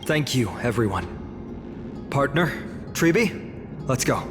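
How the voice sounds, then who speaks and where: Resolute warrior leader, echoing hall. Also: male voice, medium pitch, expressive, slow. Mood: solemn, determined. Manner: formal, declarative, commanding. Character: noble young male warrior leader, resolute, grave. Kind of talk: video game voice acting. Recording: long reverb, music behind.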